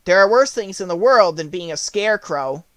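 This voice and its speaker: Cheery straw scarecrow for a storybook, played by a man, earnest delivery, lifted high pitch. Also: lively intonation, normal speed, fairly bright tone, dry recording. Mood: thankful. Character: cheerful male living scarecrow made of straw.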